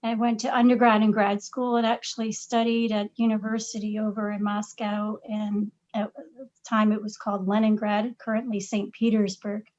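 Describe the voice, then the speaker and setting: A woman's voice: medium pitch, little pitch movement, normal speed, darkish tone, highs cut off. A middle-aged woman from Massachusetts answering podcast questions about herself, hesitant with many fillers and restarts. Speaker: podcast guest, parent. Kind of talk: casual interview answers.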